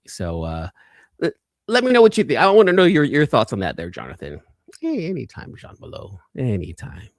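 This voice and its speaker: A man's voice: fairly low pitch, dry recording, clean studio sound. A man hosting a casual livestream, chatting with viewers in a rambling, conversational way and often repeating his phrases. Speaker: livestream host and toy collector. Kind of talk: casual live chat, reading viewer comments.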